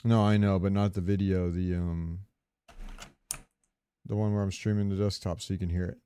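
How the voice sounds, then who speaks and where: A man's voice: sits low, flat delivery, moderate pace, warm tone, slight background noise. A man co-hosting a podcast, chatting casually about video games and rambling as he searches for words. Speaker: podcast co-host.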